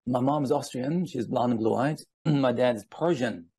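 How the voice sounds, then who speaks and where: A man in his fifties from Iran speaking as a guest, telling personal stories and explaining in a deliberate, emphatic manner with rhetorical asides. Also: mid-range voice, a little mellow, breathy edge, no room sound. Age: 50s. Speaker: guest speaker on palm leaf reading.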